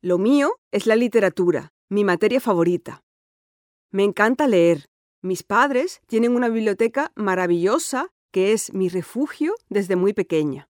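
A woman's voice: medium pitch, lively intonation, normal speed, a little mellow, dry recording, studio quality, natural voice. A woman voicing an eager teenage schoolgirl. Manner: eager, chatty and confident. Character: cheerful sporty sixteen-year-old schoolgirl. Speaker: interviewee in an exam listening dialogue. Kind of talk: scripted dialogue for a listening test.

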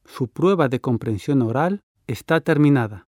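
A man reading formal, measured instructions as the announcer of a listening exam. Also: mid-range voice, animated, a little mellow, no room sound, studio quality.

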